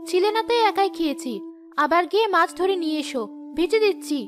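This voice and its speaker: A woman's voice: very high-pitched, animated, quick pace, music in the background. A woman voicing a greedy, sly, snappish female ghost in a Bengali cartoon story. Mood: irritable, defiant. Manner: snappish, irritable, scolding.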